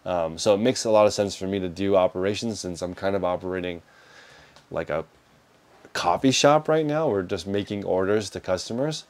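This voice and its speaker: A man in his thirties with a deep, low voice, talking through viewer questions in a relaxed, practical way. He gestures as he speaks, and a lapel mic picks him up up close in a storage room lined with shelves. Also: moderate pace. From United States. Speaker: reselling business host answering viewer questions. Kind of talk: casual livestream Q&A. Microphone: clip-on lapel mic.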